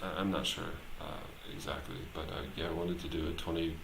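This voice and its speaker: A man's voice: extremely low pitch, flat delivery, brisk. A man talking casually and unscripted on a live stream, hesitant and rambling as he thinks aloud. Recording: echoey room, clear background noise.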